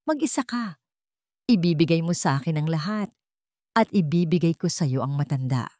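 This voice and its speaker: Haughty older villainess, played by a female narrator: venomous, mocking, deliberate menace, dry close sound. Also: low voice, lively intonation, dark tone, no room sound. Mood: cold commanding.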